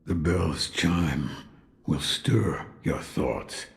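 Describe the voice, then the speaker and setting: An actor playing a gruff, calm old man in a video game, speaking solemnly in a gravelly voice. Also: very deep voice, full character voice. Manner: slow, solemn, measured. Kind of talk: cinematic video game voice acting.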